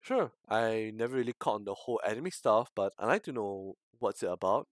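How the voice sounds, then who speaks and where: A man streaming a visual novel read-aloud, halting and repeating words, with casual asides to chat. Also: on the low side, animated, no echo. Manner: halting, stumbles and repeats words while reading.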